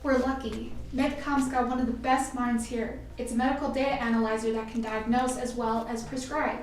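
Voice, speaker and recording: A woman's voice: a little high, little pitch movement, normal speed, a little hiss or hum, lightly acted. A woman playing an earnest, nervous medical technician in a radio play. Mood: explaining. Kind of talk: amateur radio play acting. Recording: very echoey room, distant, faint music.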